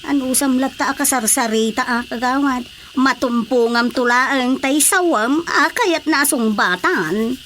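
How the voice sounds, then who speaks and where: A woman's voice: high-pitched, unhurried, noisy background. A woman with an Ilocano accent acting a nosy, teasing village woman in a radio drama comedy.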